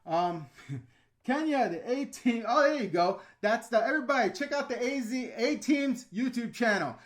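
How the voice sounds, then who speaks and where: Lively high-voiced New York man. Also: moderate pace. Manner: blunt, ranting, chatty with fillers. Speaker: YouTube host answering live chat. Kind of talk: casual livestream chat.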